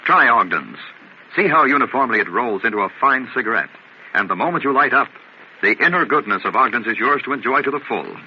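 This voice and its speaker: Lively, polished old-time male radio announcer. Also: mid-range voice, brisk. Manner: polished, emphatic, formal. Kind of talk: 1940s radio announcing, scripted narration and advert. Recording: muffled, noisy old radio broadcast recording.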